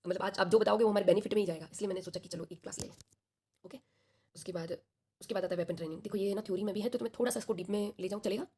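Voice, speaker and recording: A woman's voice: medium pitch, racing, dark tone, a little hiss or hum. A young woman with a sore throat teaching an online class, chatty and reassuring, checking in with her students often.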